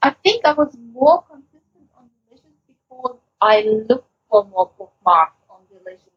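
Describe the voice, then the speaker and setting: Woman podcast co-host, raised pitch, hesitant casual talk, word-searching, muffled audio. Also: very animated, moderate pace, husky. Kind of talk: casual chat.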